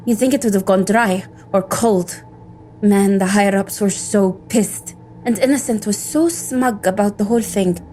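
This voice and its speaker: Woman playing a dry, mellow-voiced, irritable female operative. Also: mid-range voice, normal speed, a little hiss or hum, close to an everyday voice. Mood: sardonic. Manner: dry, cynical, profane. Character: irritable, sarcastic female cybersecurity operative giving a report. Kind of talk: audio drama acting.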